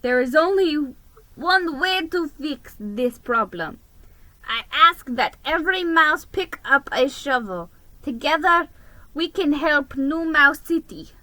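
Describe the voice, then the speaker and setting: Here is a grave, honorable mouse mayor from a children's storybook, acted out by a woman. The voice is raised and bright, pitched on the high side. Every phrase is formal and emphatic, delivered as an urgent announcement to the citizens. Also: lively intonation, takes it slowly, dry recording, full character voice, low-quality recording. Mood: rallying, resolute.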